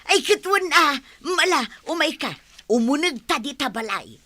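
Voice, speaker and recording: A woman playing an excitable, hospitable wife in an Ilocano radio comedy-drama, exclamatory and drawn-out, with an Ilocano accent. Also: high voice, dry recording. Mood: delighted, welcoming. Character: excitable, hospitable wife greeting an old best friend.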